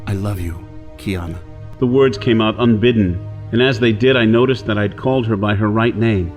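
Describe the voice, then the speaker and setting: An actor playing a lovestruck outlaw adventurer from Earth in a pulp radio drama, earnest and dramatic. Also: male voice, lowish voice, moderate pace, soft background music, natural voice. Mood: pleading. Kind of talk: first-person pulp radio drama narration and dialogue.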